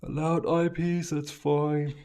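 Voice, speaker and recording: A man whose first language is Afrikaans, chatting casually on a live stream while he works through a networking lab, with relaxed fillers and restarts. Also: a little high, takes it slowly, clean tone.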